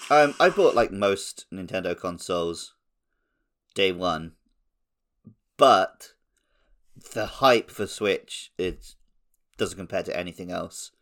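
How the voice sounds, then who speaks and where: Lower-voiced man in casual podcast chat. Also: animated, slow, pauses often, a bit bright. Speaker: podcast co-host.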